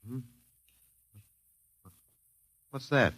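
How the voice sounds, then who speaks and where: A man's voice: fairly quick, very noisy, lightly acted. An actor in a 1950s radio drama playing a bewildered, wry male professor, talking in a hesitant, musing, conversational way. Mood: dazed, bewildered. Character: bewildered, wry male anthropology professor, last man on Earth.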